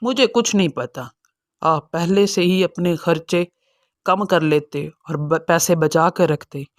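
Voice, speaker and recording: A man's voice: fairly high pitch, takes it slowly, no echo, studio quality. A man playing a selfish, impatient young son who is dismissive, in a dramatized cartoon moral story. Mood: impatient, demanding.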